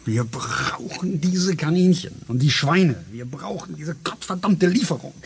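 An actor playing a driven, defensive scientist who leads a research team, speaking in an insistent radio-play manner. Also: male voice, a little high, lively intonation, unhurried, vocal fry, breathy edge, exaggerated voice. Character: driven older male scientist, stubborn and defensive.

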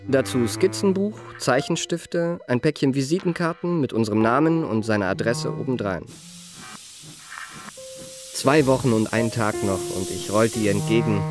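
A man's voice: mid-range voice, close to an everyday voice. An actor playing a suave, self-possessed young confidence trickster, narrating his memoirs in polished literary style for a radio play. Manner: polished, courteous, composed.

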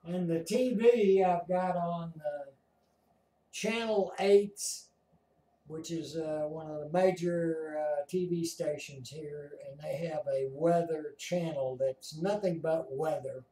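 An older American man chatting casually as he explains things as an amateur radio operator, halting and deliberate. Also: on the high side, mellow. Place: small home radio room, indoors. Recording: roomy, distant from the mic.

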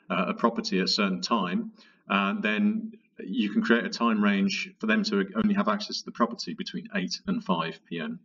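A man narrating a software tutorial in a methodical, explanatory, informal way. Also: deep voice, husky, distant mic, lo-fi sound. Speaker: product tutorial presenter. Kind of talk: software walkthrough narration, conversational.

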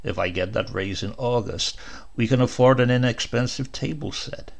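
A man's voice: medium pitch, moderate pace, vocal fry. A man voicing a wretched, weary husband at the end of his patience in a story. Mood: lame, wretched. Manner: strained, weary.

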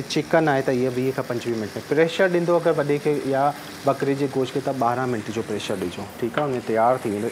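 A man's voice: medium pitch, a little mellow, a little hiss or hum. A man, explaining a recipe step by step as a TV cooking show chef in a studio kitchen. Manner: instructive, repeats steps, switches between Urdu and Sindhi. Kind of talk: cooking demonstration.

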